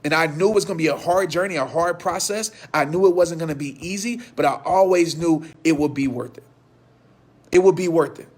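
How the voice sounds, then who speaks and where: A man in his thirties giving an impassioned motivational talk to viewers, hoarse from losing his voice, with a repetitive preaching cadence. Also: on the high side, fairly quick, a little mellow. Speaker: motivational speaker.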